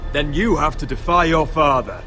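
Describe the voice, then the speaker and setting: A man's voice: a little high, expressive, normal speed, bright, forceful tone. An actor playing a young, wounded noble lord in a fantasy video game, earnest and cautious in his speech. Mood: troubled. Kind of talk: video game dramatic acting. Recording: music behind the voice.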